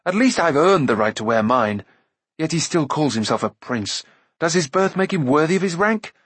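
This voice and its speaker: A man's voice: on the high side, expressive, moderate pace, fairly bright tone, husky, no room sound, voice pushed up. A man voicing a proud young pig keeper who grumbles sulkily and snaps with indignation. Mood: indignant.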